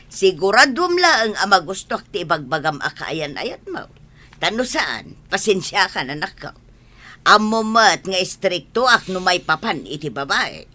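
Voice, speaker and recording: A woman's voice: mid-range voice, big pitch swings, a little slow, pushed and bright, heavily acted. A woman acting a strict, questioning middle-aged mother in an audio drama, with an Ilocano accent. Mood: suspicious, probing. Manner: stern, questioning, emphatic.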